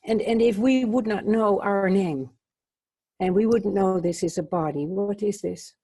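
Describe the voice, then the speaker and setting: Slow, husky woman musing contemplatively. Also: mid-range voice, mellow. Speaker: book club co-host. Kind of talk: contemplative spiritual discussion.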